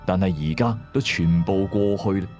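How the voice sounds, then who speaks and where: A man's voice: sits low, normal speed, a little mellow, soft background music, close to an everyday voice. A man voicing a weary, helpless father pleading in a downcast way in an audio ghost drama. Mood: tearful pleading. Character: weary, helpless father pleading with his dead baby daughter.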